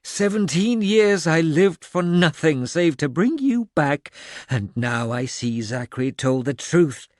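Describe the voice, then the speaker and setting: A man's voice: fairly high pitch, big pitch swings, measured pace, dry recording, clean studio sound, voice pushed up. A man doing a wheezing, raging old man. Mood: bitter hatred. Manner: wheezing, ranting. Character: hate-filled old man with a dagger.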